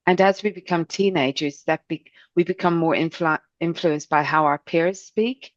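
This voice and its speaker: Low-voiced woman with Irish-South African accent. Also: normal speed, no room sound, dull audio. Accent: Irish and South African English mix. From Ireland. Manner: gentle, expressive, chatty. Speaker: voice and speech coach. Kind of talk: podcast interview answer.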